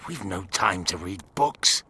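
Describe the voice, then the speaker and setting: Hard-edged, blunt rebel commander. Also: male voice, sits low, lively intonation, measured pace, bright, forceful tone, a little hiss or hum, heavily acted. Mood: suspicious, curt. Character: hardened, suspicious male rebel commander, blunt and fierce. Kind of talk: video game dialogue acting.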